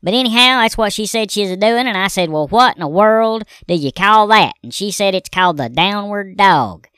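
A rambling Southern redneck husband, drawls out his gripes in a folksy comic monologue. The voice is bright and smooth. It is recorded close in a dry booth. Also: mid-range voice, expressive, moderate pace, no room sound. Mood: grumbling folksy. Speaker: recurring comedy character. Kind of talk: comedic character monologue.

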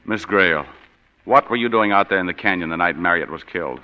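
An actor playing a hard-boiled private detective with dry, sardonic wisecracks, in 1940s radio drama. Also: male voice, low voice, lively intonation, fairly quick. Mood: wry, tough. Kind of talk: 1940s radio drama with first-person narration. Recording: muffled 1940s radio broadcast with long reverb.

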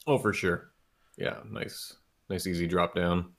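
A man's voice: sits low, fairly even intonation, unhurried, creaky. A man co-hosting a casual movie podcast, rambling with lots of restarts and frequent laughter. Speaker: podcast co-host. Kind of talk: casual movie review chat.

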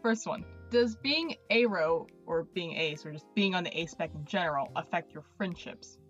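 A woman's voice: lowish voice, moderate pace, highs cut off, faint music behind. A woman hosting a podcast, upbeat and encouraging as she leads the chat. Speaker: podcast host. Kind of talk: casual chat.

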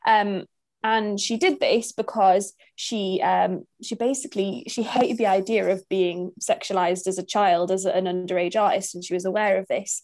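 A woman's voice: mid-range voice, fairly even intonation, moderate pace, darkish tone, dry recording. A young woman with a mixed northern English accent, co-hosting a podcast and explaining topics in casual chat with many fillers. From northern England. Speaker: podcast co-host.

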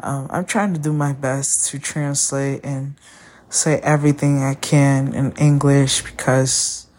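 A woman whose first language is Spanish, hosting a podcast and talking candidly about a breakup, often pausing to find her words. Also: a bass voice, level tone, darkish tone. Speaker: podcast host. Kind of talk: personal monologue.

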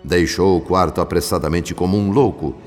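A male actor playing a compassionate, grave spirit who narrates a Brazilian radio drama in a solemn, formal, expressive way. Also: deep voice, moderate pace, lightly acted. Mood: apprehensive. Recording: long studio reverb, music underneath. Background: music.

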